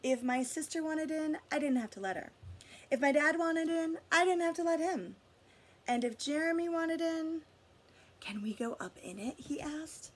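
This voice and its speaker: A woman reading a picture book aloud expressively to children, pausing to guide them with questions. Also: high-pitched. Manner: pauses to question and guide young listeners. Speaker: read-aloud storyteller for children.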